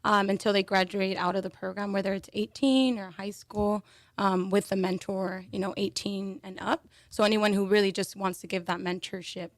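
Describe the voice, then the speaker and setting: A woman's voice: medium pitch, little pitch movement, normal speed, no room sound. A young woman guest talking casually in an interview, with many fillers and restarts. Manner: chatty, with frequent fillers and restarts. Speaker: panel guest.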